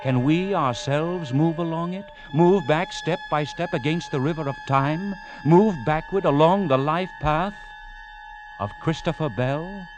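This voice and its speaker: A man narrating a 1940s radio drama in a suspenseful, theatrical style with deliberate emphasis. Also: fairly high pitch, over loud music. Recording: 1940s radio broadcast recording.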